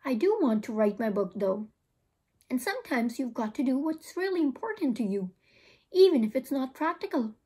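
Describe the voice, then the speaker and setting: A woman reading the part of a patient, reasonable father in a storybook, explaining things in a measured way. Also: high-pitched, very animated, dark tone, breathy edge, exaggerated voice. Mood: reassuring.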